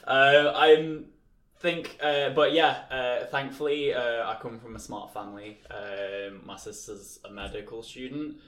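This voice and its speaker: A man's voice: medium pitch, steady tone, measured pace, a bit bright. A young man co-hosting a casual podcast, rambling with many fillers and restarts. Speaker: podcast co-host. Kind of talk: casual chat. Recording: echoey room.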